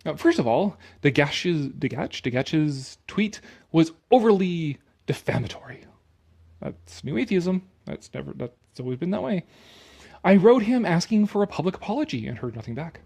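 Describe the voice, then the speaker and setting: Guy w a raspy creaky voice doing a mocking dramatic read, casual sweary commentary, super sarcastic. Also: on the high side, very animated, normal speed, a little mellow. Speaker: commentator reading an article aloud with his own remarks.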